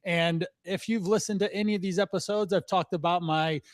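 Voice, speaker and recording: A man's voice: high voice, steady tone, brisk, no room sound, studio quality. A man in his forties hosting a podcast, chatty and upbeat, laughing easily as he talks. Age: 40s. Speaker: podcast host, fitness coach. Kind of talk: casual podcast conversation.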